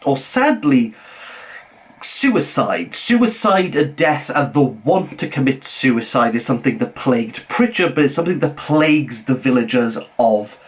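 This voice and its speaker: Earnest Welsh man reviewing books. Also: expressive. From Wales. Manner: measured, earnest, drops low on titles. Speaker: book reviewer. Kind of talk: scripted book review.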